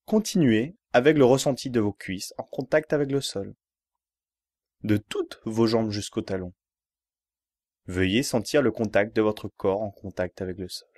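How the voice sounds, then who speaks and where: A man leads a guided relaxation at a very slow pace. His instructions are measured and repetitive, given step by step. The sound is dry and close, with no room around the voice. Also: fairly low pitch, a little mellow, no room sound. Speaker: yoga nidra relaxation guide.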